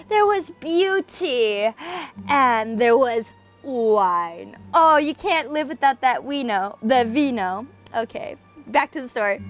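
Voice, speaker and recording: A woman at home who chats casually and gives theatrical, dramatic readings of spooky stories as an amateur host. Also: on the high side. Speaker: host and amateur story reader. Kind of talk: casual chat, then dramatic reading of a gothic horror story. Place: home workspace.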